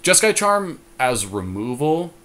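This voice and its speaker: A man's voice: medium pitch, big pitch swings, slow, fairly bright tone. A man co-hosting a podcast, chatting casually about card game strategy and thinking his choices through aloud. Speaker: podcast co-host.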